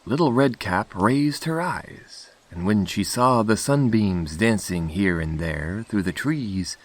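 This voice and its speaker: A male audiobook narrator reads a fairy tale slowly and deliberately. His lowish voice moves expressively through a very lively range.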